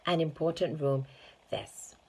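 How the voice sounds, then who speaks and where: A woman's voice: deep voice, a little slow, gravelly, breathy edge, heavily acted. A woman voicing a proud, fussy male chocolate factory owner in an emphatic, cautioning way for a storybook reading.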